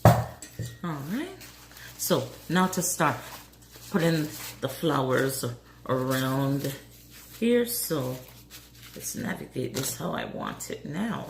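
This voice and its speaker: Hoarse lady crafter, lively up and down voice, slow easy pace, faint background noise. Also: sits low. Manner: relaxed, talks through each step as she works. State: hoarse, voice going. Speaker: craft vlogger. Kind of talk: casual DIY tutorial narration. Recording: roomy echo with faint noise.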